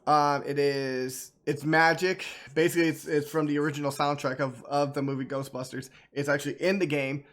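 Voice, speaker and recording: Male streamer, slightly high voice, casual and rambling, talking to viewers over gameplay. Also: little pitch movement, a little fast, few pauses, fairly bright tone. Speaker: streamer hosting a gameplay video. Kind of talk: casual chat to viewers, reacting to game cutscenes.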